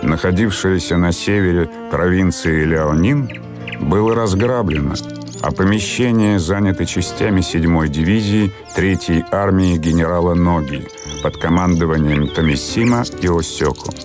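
A man's voice: deep voice, normal speed. A man reading scripted, documentary-like narration for a historical drama. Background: instrumental music.

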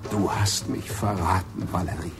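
An actor playing a desperate, bitter, cornered criminal in a German radio play, agitated and barking. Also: male voice, deep voice, fairly even intonation, moderate pace, gravelly, music in the background. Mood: betrayed, quiet. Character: desperate, cornered, terminally ill male criminal.

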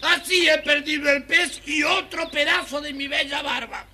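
An actor voicing a grumpy, greedy, ungrateful little dwarf, whining and scolding in a children's audio storybook. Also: male voice, very high-pitched, moderate pace, pushed and bright, exaggerated voice. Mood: indignant scolding. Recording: noisy old children's record.

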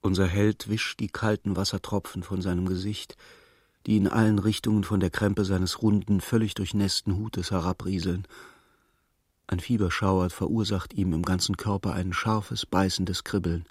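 A man's voice: sits low, flat delivery, moderate pace, darkish tone, breathy edge, no echo, clean studio sound. A man playing the sober storyteller of a radio play, reading scripted literary narration. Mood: grave narration. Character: sober male storyteller recounting a hero's misery.

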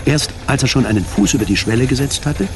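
A man narrating a scripted German radio drama in a measured, literary manner. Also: mid-range voice.

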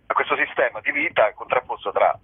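A man's voice: medium pitch, a little fast, breathy edge. Male comedian, rambling and wry, promo chat over a thin telephone connection. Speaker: theatre comedian and writer presenting a show. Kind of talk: casual promotional interview. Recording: narrow-band telephone line, radio interview.

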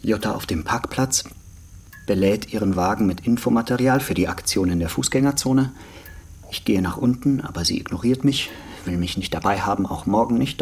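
An actor playing a resentful, defensive party activist under questioning in a naturalistic radio crime drama. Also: male voice, fairly low pitch, husky. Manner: self-righteous, aggrieved.

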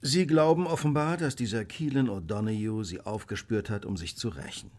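A man playing a cool, eccentric master detective in a German audio drama, brisk, unruffled and incisive. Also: on the low side, a little mellow, lightly acted. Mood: coolly superior.

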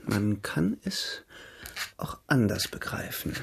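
A man's voice: on the low side, big pitch swings, takes it slowly, creaky, slightly rough, exaggerated voice. An actor playing a charming, light-hearted artist, courteous and ardent as he courts his cousin in a radio drama. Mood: philosophical, cheerful.

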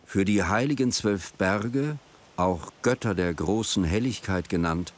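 A man's voice: on the low side, a little slow. A man reading scripted narration for a radio drama.

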